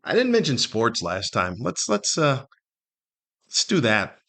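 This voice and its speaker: A man's voice: mid-range voice, wildly swooping pitch, measured pace, creaky, pushed and bright. Older american guy doing a solo podcast, regular mid voice, just rambling along casually, pokes fun at himself. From Southern California, United States. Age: older, 50s or above. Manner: rambling, conversational, self-deprecating. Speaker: podcast host.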